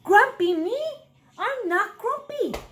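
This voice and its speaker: Woman voicing an indignant high-pitched little monkey. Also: animated, slow, a bit bright, exaggerated voice. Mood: indignant denial. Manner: indignant, emphatic. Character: grumpy young male monkey in stubborn denial. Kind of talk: animated children's storybook character voice.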